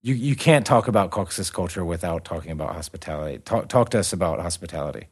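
A man hosting a casual interview, relaxed, often answering with short interjections. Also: deep voice, quick pace, slightly rough. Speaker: host.

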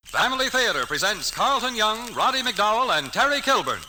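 A man's voice: high voice, big pitch swings, moderate pace, low-quality recording. A man announcing a 1940s radio show in a dramatic, declamatory style. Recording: vintage radio, loud music behind.

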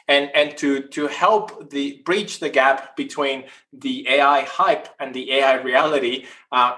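A man's voice: mid-range voice, pushed and bright. A man in his forties, originally from Chile, giving long, earnest answers as a podcast guest. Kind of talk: casual podcast interview.

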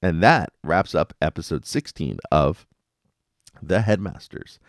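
A man's voice: deep voice, expressive, a little slow, a little hiss or hum. A man hosting a podcast, giving a casual, wry and playful episode recap with dramatic emphasis. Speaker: podcast host.

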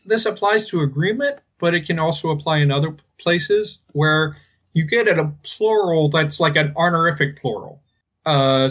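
A man co-hosting a podcast, talking casually and haltingly as he explains things. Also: on the high side, a bit bright, dull audio. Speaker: podcast co-host. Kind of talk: casual linguistics discussion.

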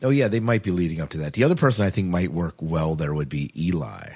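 Low husky man chatting casually. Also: expressive, quick pace, a little mellow. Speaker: podcast host. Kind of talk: casual TV review chat.

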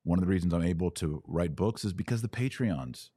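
A man with a low voice hosts a religious podcast in a very dry recording. He speaks informally and directly to his listeners. His delivery is conversational, with firm emphasis on his points. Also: animated, fairly quick, dark tone, slightly rough, dry recording. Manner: emphatic, conversational, acts out imagined dialogue.

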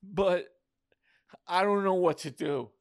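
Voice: medium pitch, normal speed, creaky, dry recording, clean studio sound, voice pushed up, close to an everyday voice. A performer voicing a frantic, whiny man who exclaims and pleads in protest. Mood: whining protest. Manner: exclaims, wails and stammers.

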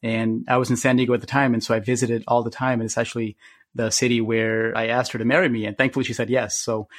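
A man's voice: medium pitch, flat delivery, fast, no echo, studio quality. A man co-hosting a podcast, moving between a scripted intro and casual chat. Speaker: podcast co-host.

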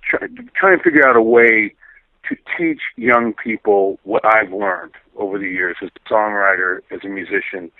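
A man's voice: fairly low pitch, no room sound. Middle-aged man on a tinny phone line. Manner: halting, thoughtful, frequent pauses. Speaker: interview guest, musician and teacher. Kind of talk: reflective conversational interview. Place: remote phone call.

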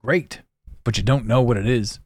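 A man hosting a solo podcast, talking intensely and repeating words as his voice swings between loud and quiet. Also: medium pitch, moderate pace, dry recording. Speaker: podcast host.

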